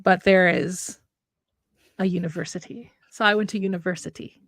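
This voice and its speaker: A woman's voice: lowish voice, fairly even intonation, normal speed, a bit bright, dry recording, clean studio sound. A woman in her late thirties chatting casually as a live stream co-host, reflective and rambling as she rephrases herself. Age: late 30s. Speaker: writer co-hosting a live stream. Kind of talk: casual chat.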